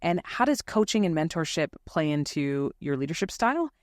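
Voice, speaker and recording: Measured interviewer, dry close sound. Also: medium pitch, very animated, no room sound. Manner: measured, structured questioning. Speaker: podcast host. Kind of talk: interview questions.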